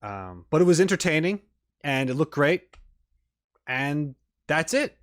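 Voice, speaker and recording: A man's voice: fairly high pitch, sing-song, a little slow, a bit bright, no echo, clean studio sound. A man doing casual, off-the-cuff reaction commentary, rambling and repeating words as he thinks aloud. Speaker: YouTube reaction host.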